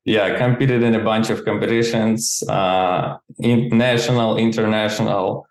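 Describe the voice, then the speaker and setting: Low-voiced Eastern European man, echoey room. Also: steady tone, normal speed. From Ukraine. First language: not English. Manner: informal, peppers speech with "like". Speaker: interview guest, gymnastics coach and QA engineer. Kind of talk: casual conversational interview. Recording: roomy, echoey sound.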